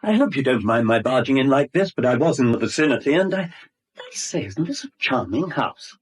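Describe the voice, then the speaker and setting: An actor playing an insinuating, over-polite English visitor with florid, ingratiating manners in a 1970s radio drama. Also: male voice, medium pitch, very animated, fairly quick, breathy edge. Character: smooth-talking, insinuating, over-polite male uninvited visitor.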